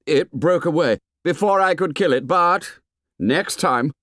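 A man voicing an excitable, boastful schoolboy hunter in forceful outbursts for an audiobook. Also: fairly high pitch, a little slow, dry recording, studio quality, voice pushed up. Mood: eager, defiant. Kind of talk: audiobook character voice.

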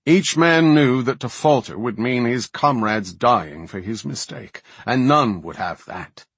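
A man's voice: medium pitch, animated, measured pace, breathy edge, no room sound, heavily acted. A man voicing a proud, hot-tempered old warrior king in a fantasy audiobook, boastful and declamatory. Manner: forceful, declamatory. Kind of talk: fantasy audiobook character acting.

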